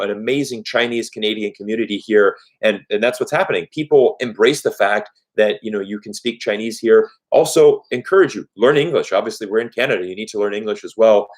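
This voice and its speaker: A man's voice: fairly low pitch, a little fast, few pauses. A man whose was German, hosting a live-stream Q&A, talking earnestly and conversationally and often restarting phrases with "you know". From United States. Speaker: YouTube host.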